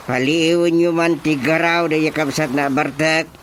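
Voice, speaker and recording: A man plays a grave village chairman in a radio drama. His voice sits fairly high, and he explains and admonishes with emphasis. There is faint noise in the background. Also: steady tone, normal speed, a bit bright, natural voice. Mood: grave, concerned. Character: male village chairman, earnest, grave local authority. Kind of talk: Filipino radio drama acting.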